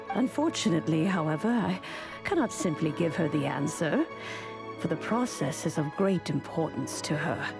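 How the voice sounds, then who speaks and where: A woman playing a wise, long-lived female mentor in a video game, speaking in a gentle, measured, formal storytelling way. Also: fairly low pitch, vocal fry, full character voice. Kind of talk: video game character acting. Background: music.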